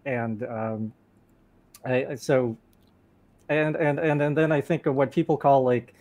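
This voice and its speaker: A man who grew up in Thailand speaks at a middle pitch as a guest on a relaxed panel. He often stammers and restarts before settling into his point. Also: unhurried. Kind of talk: casual panel discussion.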